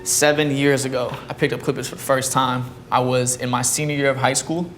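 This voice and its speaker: A man in his twenties, a barber hosting the show, chatting casually while cutting a guest's hair. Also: mid-range voice. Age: 20s. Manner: fast, upbeat, hype opener then relaxed friendly banter. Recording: music bed behind the voice. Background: music.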